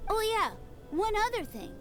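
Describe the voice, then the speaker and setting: Polite, naive small child for a video game: high-pitched, cheerful, light, animated, faint music underneath. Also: female voice, moderate pace, heavily acted. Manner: bright-eyed, innocent, eager.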